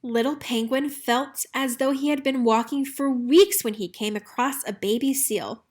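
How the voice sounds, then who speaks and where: Higher-pitched woman reading a storybook. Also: a little slow. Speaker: storybook reader. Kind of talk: scripted children's story narration, read aloud.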